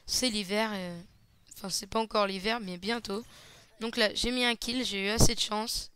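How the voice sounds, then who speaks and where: A man giving casual, hesitant play-by-play commentary over a shooter match, slightly hoarse, with lots of fillers. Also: high-pitched, steady tone, normal speed, bright, forceful tone, no room sound. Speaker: gaming channel host. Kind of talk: gameplay commentary.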